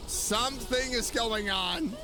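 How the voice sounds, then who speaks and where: A man doing casual live gameplay commentary, expressive, reacting aloud and talking to the characters. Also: extremely high pitch, takes it slowly, music in the background. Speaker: game streamer.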